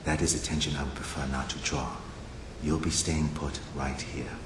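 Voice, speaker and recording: An actor playing a composed, menacing intelligence operative in a video game, measured, formal and condescending. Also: male voice, extremely low pitch, little pitch movement, heavy background noise. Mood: cold, composed. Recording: echoing voice under loud game music.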